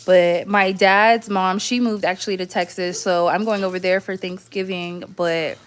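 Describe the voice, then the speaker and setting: A woman's voice: mid-range voice, steady tone, normal speed, clear, resonant tone. A young woman from the southwestern United States chatting casually on a livestream at home, rambling along in slangy, informal talk. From southwestern United States (Arizona and Las Vegas). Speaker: livestream host.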